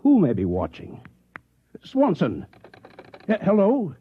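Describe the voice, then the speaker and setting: Edgy husband in tinny vintage radio drama. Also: male voice, high voice, wildly swooping pitch, slow, breathy edge, full character voice. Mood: wary, demanding. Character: anxious, suspicious office husband growing alarmed at reality. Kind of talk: old-time radio drama acting. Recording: muffled, narrow-band vintage radio recording.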